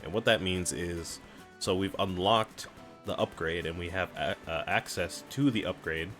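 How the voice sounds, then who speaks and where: A man with a deep, low voice talks through a game tutorial in an easygoing, explanatory way. His voice is a little raspy. Faint game music plays behind him. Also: moderate pace. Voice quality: raspy, clears throat often. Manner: relaxed, explanatory, reads on-screen prompts aloud. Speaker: gaming streamer. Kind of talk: casual let's-play commentary.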